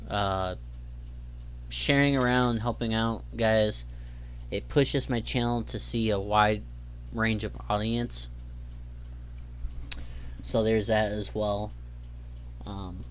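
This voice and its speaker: A man's voice: lowish voice, a little hiss or hum. A young man hosting his own gaming channel, chatting casually while he plays, rambling along with lots of ums and easygoing asides. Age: young adult. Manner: rambling, filler-heavy, easygoing. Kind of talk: casual gameplay commentary.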